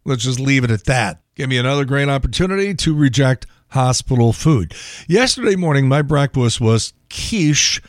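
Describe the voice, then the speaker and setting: A man with an American accent and a gravelly, thinning voice, hosting a podcast in a wry, colloquial, sometimes profane solo monologue. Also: medium pitch, animated, normal speed, no room sound, clean studio sound. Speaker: podcast host. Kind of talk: casual solo monologue on news and sport.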